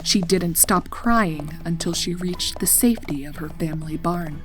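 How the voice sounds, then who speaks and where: A woman narrating a horror story in a deliberate, suspenseful, dramatic style. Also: on the low side. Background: background music.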